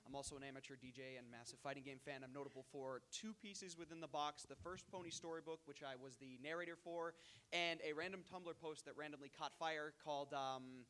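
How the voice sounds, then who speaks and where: A man's voice: a little high, steady tone, a little fast, a bit bright. A man casually introducing himself as a voice actor at a convention, speaking into a handheld mic. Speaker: amateur voice actor. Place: convention room, standing. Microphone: handheld microphone.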